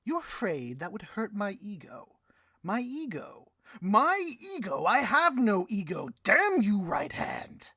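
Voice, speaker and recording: A man's voice: high-pitched, huge pitch swings, takes it slowly, sharp tone, breathy edge, dry recording, clean studio sound, heavily acted. A man playing a bloviating, petty supervillain boss in a comedic audio roleplay, with a pompous, melodramatic delivery and a taste for rants. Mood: outraged.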